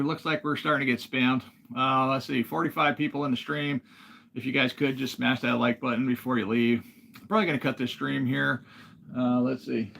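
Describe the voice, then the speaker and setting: A man from Alaska hosting a casual livestream, talking in a relaxed, rambling way and reading viewer comments aloud. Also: medium pitch, gravelly, slight background noise. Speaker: livestream host.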